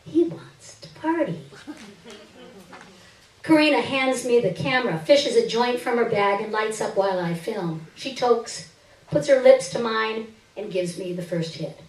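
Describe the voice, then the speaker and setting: A woman's voice: fairly high pitch, normal speed. Distant, roomy-sounding woman reading dramatically. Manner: expressive, theatrical delivery. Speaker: reader performing a short story on stage. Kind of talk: dramatic first-person literary reading. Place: live stage reading indoors. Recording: roomy stage, distant microphone.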